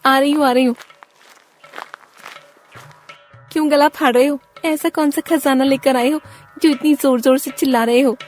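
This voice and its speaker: A woman voicing an excitable, skeptical village wife and mother in an animated moral story, with a shrill, questioning manner. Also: fairly quick, faint music behind, close to an everyday voice. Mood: irritated.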